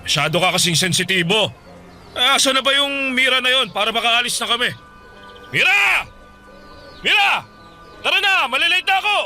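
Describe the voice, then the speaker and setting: A man voicing a short-tempered middle-aged husband who snaps and shouts in a radio drama. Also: very high-pitched, lively intonation, moderate pace, pauses often, full character voice. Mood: shouting. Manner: snapping, shouting. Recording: background music. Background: soft music.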